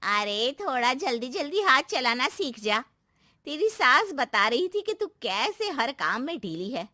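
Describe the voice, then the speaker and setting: A woman plays a nagging older aunt from a cartoon family tale. She scolds and taunts in a fairly high voice, close and dry. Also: big pitch swings, a little slow, pushed and bright, no echo, full character voice. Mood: taunting.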